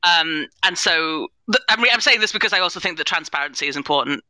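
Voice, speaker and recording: A woman's voice: lowish voice, lively intonation, fast, bright, forceful tone, dry recording, low-quality recording. A woman speaking earnestly and thoughtfully as a panelist in a live discussion, often qualifying what she says. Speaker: panelist, head of inclusion at an audio drama company.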